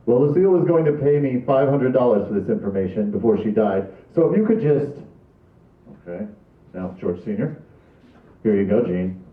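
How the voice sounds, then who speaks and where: A man's voice: mid-range voice, animated, normal speed, close to an everyday voice. A man reading the part of a chummy, money-minded private investigator in a casual comedy table read. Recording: roomy, distant, phone-like sound.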